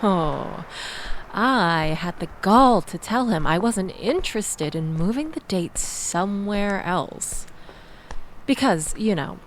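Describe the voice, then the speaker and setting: Shaken young woman, played by a woman for audio roleplay: self-deprecating, grateful, breathy sighs, mid-pitched, taking her time. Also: expressive, takes it slowly, a little hiss or hum, rough recording. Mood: exasperated, chatty. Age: young adult. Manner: rambling, breathy sighs, wry asides. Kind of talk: intimate audio roleplay acting addressed to the listener.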